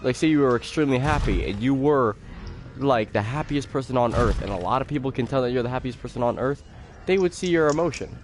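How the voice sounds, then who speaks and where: A teenage boy chatting calmly with friends while streaming a game, talking slowly with many fillers and restarts. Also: mid-range voice, soft background music. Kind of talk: casual chat while gaming on a live stream.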